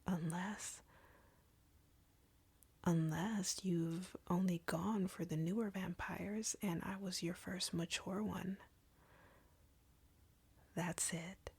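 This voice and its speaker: A very breathy, husky vampire woman, played by an actress in an intimate roleplay, drawing out her taunts slowly with a creaky edge. She is arrogant, cruel and flirty, condescending to her captive at close range. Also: lowish voice, full character voice. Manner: slow, taunting, condescending, teasing. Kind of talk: scripted roleplay audio, intimate ASMR-like delivery. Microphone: close, dry microphone.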